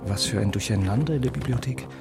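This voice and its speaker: A man's voice: mid-range voice, quick pace, loud music behind the voice, close to an everyday voice. An actor playing an urbane, cynical male opportunist in a radio play, sardonic and coldly detached. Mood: muttering, callous. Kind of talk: German radio play monologue. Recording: clearly noisy background.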